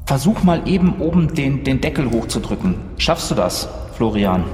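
A man with a very lively pitch range plays a tabletop adventure, thinking aloud as he goes. His voice echoes with a long reverb, over faint noise. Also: moderate pace. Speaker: role-playing game player. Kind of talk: improvised in-character tabletop play.

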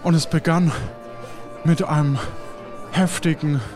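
High-voiced man playing a theatrical pirate cook. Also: fairly even intonation, over music, natural voice. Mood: solemn, dramatic. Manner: dramatic, halting, drawn-out phrasing. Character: theatrical pirate ship's cook recounting an old adventure. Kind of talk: improvised audio adventure storytelling and acting.

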